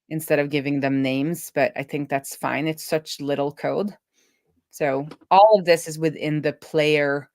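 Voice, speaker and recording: A woman hosting a casual coding stream as a web developer, talking informally and thinking out loud as she works. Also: sits low, no echo, clean studio sound.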